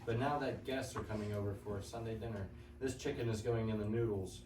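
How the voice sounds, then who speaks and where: A man's voice: lowish voice, fairly even intonation, a little fast, a little mellow, natural voice. A teenage boy playing a proud, boastful farmyard rooster facing his end in a reader's theater reading. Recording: echoey noisy classroom, mic at a distance.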